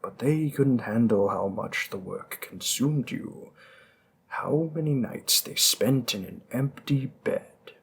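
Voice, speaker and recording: Male voice actor for a slow, husky, seductive mob boss, mid pitch, playful menace. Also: animated, full character voice. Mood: teasing. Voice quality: gravelly, husky. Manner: teasing, slow and seductive, dropping into whispers. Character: flirty smooth-talking male mafia boss. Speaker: audio roleplay performer. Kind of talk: audio roleplay acting.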